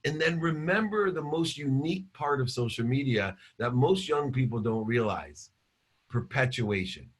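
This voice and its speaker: A man in his fifties, a guest entrepreneur giving an energetic motivational interview answer full of repeated phrases. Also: medium pitch, moderate pace, slightly rough. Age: 50s. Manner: energetic, repetitive storytelling.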